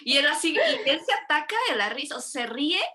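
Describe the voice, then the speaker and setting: Bright, lively woman host. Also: high voice, brisk. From Mexico. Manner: animated, rambling with self-corrections. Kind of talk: casual conversational interview.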